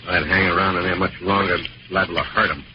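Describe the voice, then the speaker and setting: An actor playing a hard, impatient American police interrogator in an old radio crime drama, curt, suspicious and badgering. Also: male voice, deep voice, steady tone, fast talker, close to an everyday voice. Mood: brooding, frustrated. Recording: muffled, noisy vintage radio recording.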